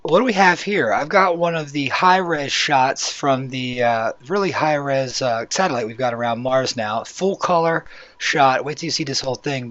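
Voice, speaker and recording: A man with a hoarse voice hosting a casual, rambling video walkthrough, talking conversationally as he explains what is on screen. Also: medium pitch, a bit bright. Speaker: YouTube channel host. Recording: dull, lo-fi microphone sound.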